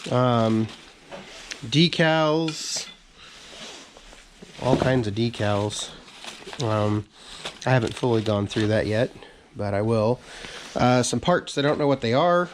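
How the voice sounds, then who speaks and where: A man hosting a casual update video, talking in a relaxed, rambling way with many fillers and restarts, his voice a bit raspy from a cold, in a workshop full of parts. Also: medium pitch, slow pace, pauses often, noisy background. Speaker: channel host.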